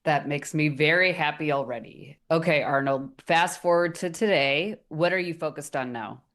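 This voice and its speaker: Deep-voiced enthusiastic woman host. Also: normal speed, fairly bright tone. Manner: enthusiastic, lightly playful. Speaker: podcast co-host. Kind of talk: hosting a tech podcast.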